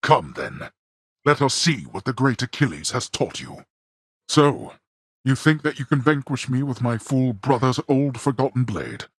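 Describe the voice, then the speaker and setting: A man's voice: animated, measured pace, dry recording. An actor playing a stern, proud god of the dead who scorns his son, speaking with cold, imperious contempt in video game voice lines. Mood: scornful. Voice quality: deep, raspy, gravelly. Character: stern proud male god of the dead, a harsh disapproving father. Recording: dry close studio recording.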